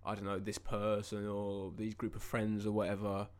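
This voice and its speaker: A young man talks casually as a podcast co-host. His voice is on the low side. He rambles along informally, thinking things over as he goes. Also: completely flat delivery, moderate pace. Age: 20s. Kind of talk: casual chat.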